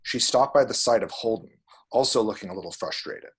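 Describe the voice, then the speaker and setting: A man reading screenplay action descriptions aloud at a table read, with a husky voice. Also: lowish voice, a little fast.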